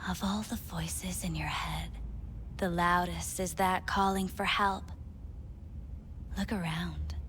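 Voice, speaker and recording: Whispery, probing android companion. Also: female voice, on the low side, steady tone, takes it slowly, pauses often, a little hiss or hum. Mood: calm probing. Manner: soft, breathy, half-whispered delivery. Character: sultry female android companion, calm and probing. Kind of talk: video game cutscene acting.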